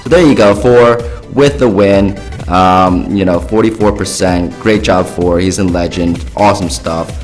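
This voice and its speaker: A young man's lowish voice, hoarse and raspy as if he is losing it, talking over gameplay in a loose, chatty way full of fillers. Faint music plays under him. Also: measured pace, few pauses. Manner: chatty, filler-heavy, upbeat. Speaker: gaming YouTuber. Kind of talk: casual game commentary.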